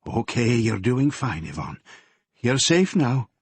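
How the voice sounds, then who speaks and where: A man's voice: medium pitch, sing-song, breathy edge, no echo, full character voice. A man playing a stern detective father. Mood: concerned, firm. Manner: firm, measured, controlled. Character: strict, stern middle-aged police detective father.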